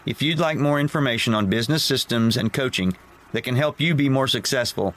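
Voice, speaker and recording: A man's voice: mid-range voice, a little fast, a bit bright. An older man hosting a podcast, reading a scripted introduction and sign-off. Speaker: podcast host.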